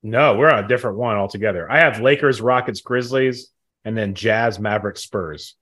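A man's voice: lowish voice, moderate pace, a bit bright. A man in his thirties co-hosting a sports podcast in a casual, conversational style. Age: 30s.

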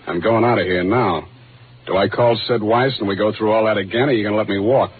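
A man's voice: lowish voice, fairly quick, slight background noise, lightly acted. An American man playing a hard-boiled, wisecracking private detective in a 1940s radio drama, with a clipped, wry, world-weary delivery. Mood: terse. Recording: tinny old 1940s radio recording.

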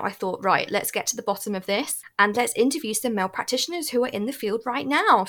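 Bubbly British female podcast host, bright, animated delivery. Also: on the high side. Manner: bubbly, enthusiastic. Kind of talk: lively podcast chat.

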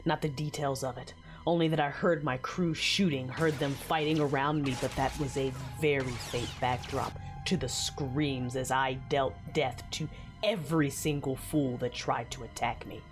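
A woman's voice: very deep voice, fairly even intonation, moderate pace, faint music behind. A woman acting a grieving, enraged female warrior who narrates her own fight with brooding intensity in an audio drama. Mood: grim recollection.

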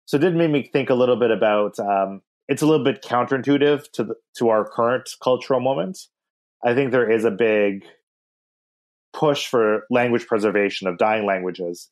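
A man co-hosting a podcast, talking through the weekly Torah portion in a thoughtful, rambling way with many restarts. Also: medium pitch. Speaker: podcast co-host.

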